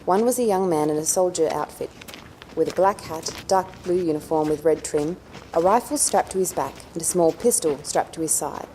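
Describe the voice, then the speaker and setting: Low-voiced woman narrating a story. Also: moderate pace, mellow, a little hiss or hum, poor audio. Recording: music underneath.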